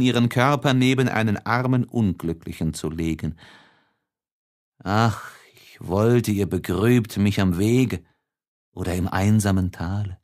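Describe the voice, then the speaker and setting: A man voicing a despairing, tender young man's farewell letters in an audiobook, solemn and halting, often hushed at the ends of phrases. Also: on the low side. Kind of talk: dramatic audiobook reading.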